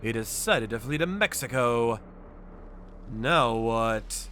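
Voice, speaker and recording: A male actor voicing a stern, brooding avenger who narrates a manga dub in a deliberate, dramatic way. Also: medium pitch, animated, fairly bright tone, a little hiss or hum. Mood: grim, somber. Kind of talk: dramatic scripted first-person narration.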